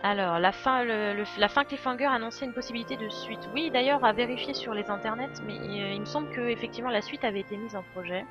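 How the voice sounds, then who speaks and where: Girl streamer chatting thru a game, thinking out loud, hesitant, game music behind her. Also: a little high, fast talker, hardly any pauses, muffled recording. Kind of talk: casual live commentary and explanation.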